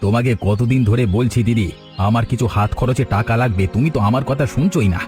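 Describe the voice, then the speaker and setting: A man's voice: medium pitch, fast, a little mellow, soft background music, natural voice. A man voicing a selfish, defiant grown younger brother in a village cartoon, whining and demanding in an insolent way. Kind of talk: melodramatic cartoon voice acting.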